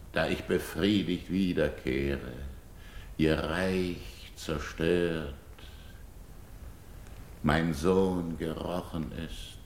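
A proud older king in a classical radio play, speaks very low with a gravelly creak. His is solemn and slow, declaimed as verse in a roomy space. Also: a little hiss or hum, heavily acted. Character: grieving, proud older king, childless widower-like ruler seeking a bride.

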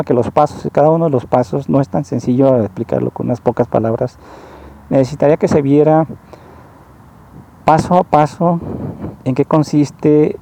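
A man with a very dark, mellow voice. He talks slowly and earnestly, pausing and rewording his phrases as he goes. His pitch sits at an ordinary middle level. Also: a little hiss or hum. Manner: slow, halting, rephrases often; earnest and explanatory. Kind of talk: spoken monologue, personal complaint.

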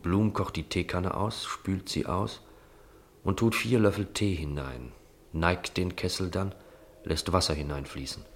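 Low-pitched male narrator. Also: unhurried. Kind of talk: literary scripted narration for a radio play.

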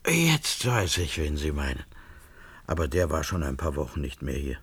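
An actor in a German science fiction radio play, playing a wary, evasive barkeeper with a gravelly, hesitant delivery. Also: male voice, a bass voice, very animated, normal speed, fairly bright tone, dry recording, heavily acted. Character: wary, evasive barkeeper who knows more than he says.

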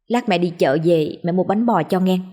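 A woman with a Southern Vietnamese accent voicing a poor, long-suffering young mother who speaks softly, as if holding back tears. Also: on the low side, moderate pace, close to an everyday voice. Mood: tearful, tender. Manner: soft, choked, tender.

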